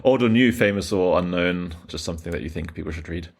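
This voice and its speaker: A podcast host from Germany speaks with a very deep, low voice. He talks quickly and casually, stopping to correct and rephrase himself mid-sentence. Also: creaky, husky. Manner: rapid, hesitant, self-correcting. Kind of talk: casual interview conversation.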